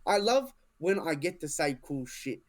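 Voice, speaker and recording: A man co-hosting a podcast in casual chat, excitable, swearing freely and tripping over restarts. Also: on the high side, measured pace. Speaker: podcast co-host, hobby voice actor.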